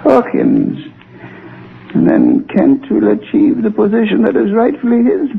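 An actor playing a crazed, vengeful and servile old watchman in a 1930s radio thriller, halting and given to cackling. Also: high-pitched, wildly swooping pitch, creaky, husky, exaggerated voice. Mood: bitter, gloating. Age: older. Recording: muffled 1930s radio recording.

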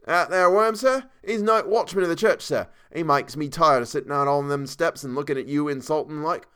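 Man doing an emphatic, high-pitched young Cockney servant. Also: a little fast, fairly bright tone, clean tone, close to an everyday voice. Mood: indignant. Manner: uneducated, emphatic, sir-peppered speech. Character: young Cockney English servant, ex-soldier, indignant and deferential.

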